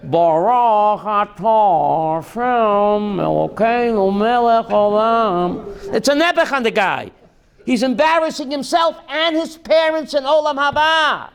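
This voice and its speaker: A rabbi teaching a class, reading text slowly and then explaining it in while quizzing his students. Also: very high-pitched, smooth. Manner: reads Hebrew text aloud slowly, then explains in English, quizzing listeners.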